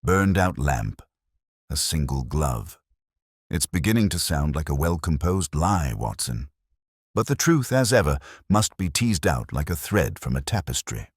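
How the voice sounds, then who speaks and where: Male narrator as audiobook gentleman detective, very deep voice, coolly analytical, precise deductions, dry close sound. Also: sing-song, unhurried, breathy edge, no room sound, full character voice. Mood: musing, analytical. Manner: precise, formal, musing deductions.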